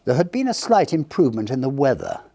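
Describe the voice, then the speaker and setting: A man giving a theatrical, measured narration of a Victorian ghost story, with dramatic pauses. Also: mid-range voice, sing-song, gravelly, mellow, dry recording. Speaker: audiobook narrator.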